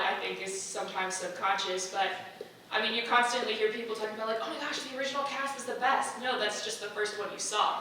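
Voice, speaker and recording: A woman's voice: mid-range voice, pushed and bright. A young woman giving casual feedback in a conversational way, often dropping "like" into her sentences. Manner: conversational, peppered with "like". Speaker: discussion participant giving feedback. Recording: echoey room, distant mic, faint noise.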